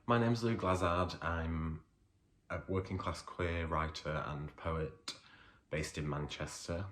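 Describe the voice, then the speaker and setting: A man's voice: sits low, fairly even intonation, a bit bright. A man, working as a poet, gives a hesitant introduction full of fillers and then reads his poems aloud. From Leeds, England. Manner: hesitant, unhurried talk with many fillers. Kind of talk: introduction and poetry reading.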